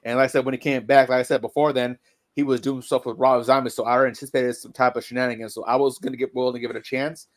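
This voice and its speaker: A man in casual podcast chat, measured and reflective, often stammering into his points. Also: mid-range voice, level tone. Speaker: podcast co-host.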